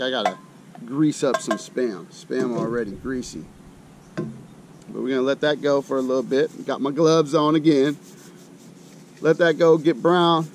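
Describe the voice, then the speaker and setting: Loud outdoor ambience with an engine-like rumble sits behind a middle-aged American man. His voice is medium in pitch. He talks casually and colloquially, thinking out loud as he hosts a cooking demonstration. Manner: folksy, colloquial, thinking aloud. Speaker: host of an outdoor cooking channel. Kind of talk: casual chat while demonstrating camp cooking.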